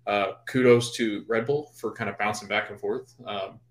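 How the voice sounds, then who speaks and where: A man co-hosting a casual sports podcast, thinking out loud in loose, rambling sentences. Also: on the low side, fairly even intonation, moderate pace. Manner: rambling, full of fillers and restarts. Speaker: podcast co-host. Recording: roomy echo.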